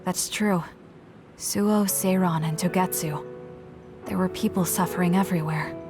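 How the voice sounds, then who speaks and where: A woman's voice: fairly low pitch, steady tone, unhurried, over music. An actress playing a stoic, blunt young woman fighter in a video game, speaking in a matter-of-fact, terse way. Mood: calm, frank. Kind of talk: English video game voice acting.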